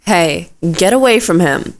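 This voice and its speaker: A woman playing a caring, frank witch in an audio roleplay, speaking to the listener in a gentle, conversational way. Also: on the low side, lively intonation, unhurried, slight background noise, poor audio. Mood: worried, soothing. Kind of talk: intimate audio roleplay acting, addressing listener.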